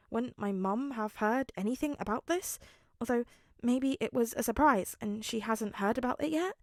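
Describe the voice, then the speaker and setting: A woman's voice: on the high side, no echo, clean studio sound, close to an everyday voice. Woman putting on a fretful teenage boy. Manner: rapid nervous muttering. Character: anxious excitable teenage boy hero fan.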